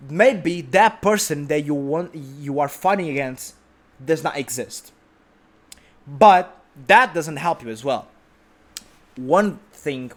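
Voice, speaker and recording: A man who is a non-native English speaker, delivering an informal, unscripted motivational monologue as a host, emphatic and repetitive. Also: high-pitched. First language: not English.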